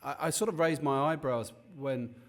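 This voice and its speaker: A man's voice: medium pitch, moderate pace, vocal fry. A man hosting an interview over a video call, chatting in a friendly, rambling way with many restarts. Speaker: podcast host. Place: Cape Town.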